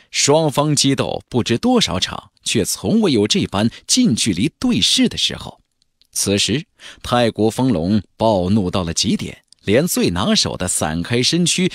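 Guy reading a fantasy novel, lowish voice, really dramatic, swings up and down a lot. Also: dry recording, clean studio sound. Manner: theatrical, expressive storytelling. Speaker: audiobook narrator. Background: background music.

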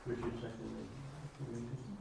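A man chairing a formal council meeting in a halting, hesitant way. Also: mid-range voice, flat delivery, gravelly. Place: indoor public meeting room. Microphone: distant camera microphone. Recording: very echoey room, distant mic, noisy.

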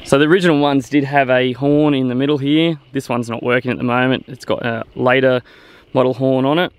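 A middle-aged man giving an unhurried, plain-spoken vlog walkaround, explaining things casually outdoors. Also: medium pitch, clean tone. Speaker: vlogger, owner of a vintage off-road vehicle. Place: outdoors in open grassy bushland. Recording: windy outdoor noise.

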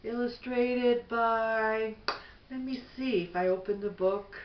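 A middle-aged woman reads a bedtime storybook at an unhurried pace. She is some distance from the camera mic in an echoey room. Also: on the high side, steady tone, lo-fi sound. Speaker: mother reading a bedtime story. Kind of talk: slow storybook read-aloud. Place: small bedroom at home, indoors. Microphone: camera a few feet away.

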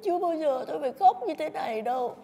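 A tearful, distraught mother, played by a woman, cries and pleads in a high voice. The room rings with long reverb and a faint hum of background noise. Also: animated, fast talker, warm tone. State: crying. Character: distraught mother who livestreams, weeping over her child.

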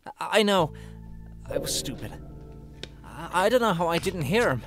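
A man's voice: on the high side, big pitch swings, normal speed, gravelly, a bit bright, full character voice. An actor playing a meek, frightened male captive in a horror visual novel, hesitant and stammering. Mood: ashamed. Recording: music behind.

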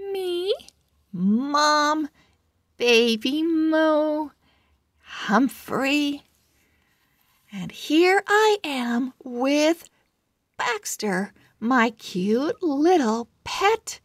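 A woman voicing a cheerful, chatty young girl owl reading out her diary in a deliberate, emphatic manner. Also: sits high, expressive, no room sound, studio quality, full character voice.

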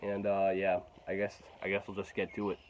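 A teenage boy rambling to his phone camera in a garden, frustrated and halting, with lots of restarts and fillers. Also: sits low, monotone, slight background noise. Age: teens. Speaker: vlogger. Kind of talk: casual rambling vlog talk. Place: outdoors in a garden. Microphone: phone camera at arm's length.

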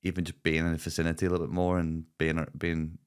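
A man's voice: low voice, completely flat delivery, fast, smooth. A man in his thirties co-hosting a podcast, giving emphatic advice and restarting his sentences. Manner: opinionated, emphatic, repeats words when starting. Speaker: podcast co-host. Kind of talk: casual chat. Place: small podcast studio, indoors. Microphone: studio mic on arm, headphones.

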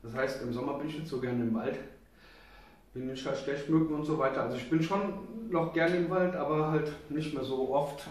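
A man's voice: on the high side, brisk, darkish tone. Middle-aged man, roomy echo, distant mic, informal vlog review, joking and colloquial. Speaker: vlogger reviewing outdoor gear. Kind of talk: casual rambling product review. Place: concrete basement laundry room, indoors. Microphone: camera mic a few steps away. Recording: echoey room, distant camera, background noise.

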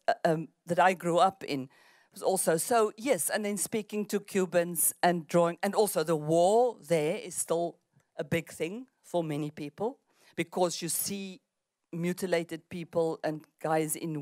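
A woman's voice: low voice, darkish tone, dry recording, clean studio sound. A woman in her sixties from South Africa whose first language is Afrikaans, speaking thoughtfully with frequent restarts as an author on an outdoor festival panel, into a handheld mic. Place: outdoor festival stage among trees. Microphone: handheld microphone.

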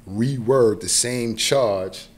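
A man's voice: mid-range voice, extremely slow. New York man telling his story, noisy room. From New York, United States. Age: 40s. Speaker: podcast guest. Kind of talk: casual personal storytelling in an interview. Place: small indoor podcast studio. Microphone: close podcast mic on boom arm.

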